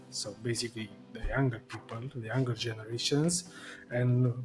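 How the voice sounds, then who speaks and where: A man's voice: medium pitch, a little mellow. Upbeat male podcast host. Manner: upbeat, friendly. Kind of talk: podcast interview.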